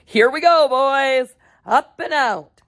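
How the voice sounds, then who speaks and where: A woman's voice: mid-range voice, expressive, measured pace, vocal fry, husky, heavily acted. A woman voicing an eccentric, cheerful man who owns a chocolate factory, talking in a bouncy, theatrical way. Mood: gleeful.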